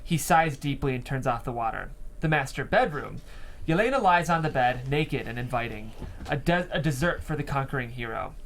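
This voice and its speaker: A man's voice: mid-range voice, expressive, normal speed, gravelly, fairly bright tone, a little hiss or hum. A man reading scene headings and stage directions as narrator of a script table read.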